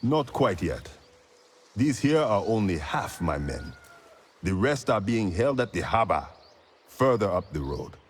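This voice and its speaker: An actor playing a gruff, commanding, mocking rebel fighter in a video game cutscene. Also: male voice, lowish voice, big pitch swings, a little slow, slight background noise. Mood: gruff approval.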